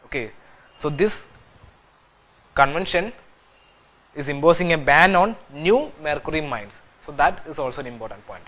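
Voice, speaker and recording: A man's voice: a little high, animated, normal speed, pauses often, fairly bright tone, rough recording. A young man teaching an exam-prep class, repeating key points with frequent "okay" and "so", recorded in a studio classroom. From India. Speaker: civil services exam teacher. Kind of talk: classroom lecture on video. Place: indoor classroom studio with whiteboard screen.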